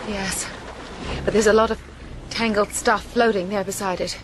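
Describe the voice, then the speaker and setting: Female castaway lead, played by an actress: medium pitch, earnest, measured radio-drama acting, brave temper, noticeable ambient noise. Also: fairly even intonation, natural voice. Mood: fearful.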